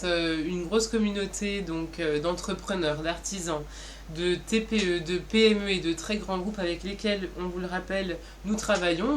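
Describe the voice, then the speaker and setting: A woman with a mid-pitched voice explains things as a co-presenter, speaking straight to viewers in an improvised, semi-formal style. Some ambient noise sits behind her. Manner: explanatory, addressing viewers directly. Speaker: co-presenter explaining an online community. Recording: clear background noise and ambience.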